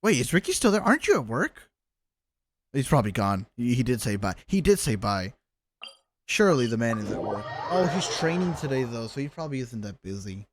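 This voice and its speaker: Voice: mid-range voice, big pitch swings, brisk, over a noisy crowd. A streamer with a mid-range voice talks casually over a game. The delivery is slangy and grumbling, addressed loosely to a live chat.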